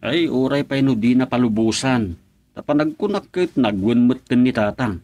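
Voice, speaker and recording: An actor playing a husband who calmly reassures his wife in a radio drama. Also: mid-range voice, moderate pace, natural voice. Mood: reassuring.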